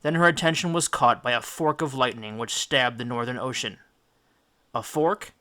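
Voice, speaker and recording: Bright-voiced man narrating a dramatic storybook. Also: medium pitch, moderate pace. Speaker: audiobook reader.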